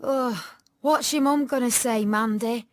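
A woman voicing a defiant, streetwise teenage girl in an audiobook story, fierce, loud and slangy in her protectiveness. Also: a little high, unhurried, no room sound, studio quality, pitched above the performer's own voice, lightly acted. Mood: brash, pleading.

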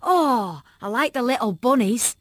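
A woman voicing a bubbly, eager young schoolgirl who is excited and chirpy. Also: a little high, measured pace, no echo, voice pushed up.

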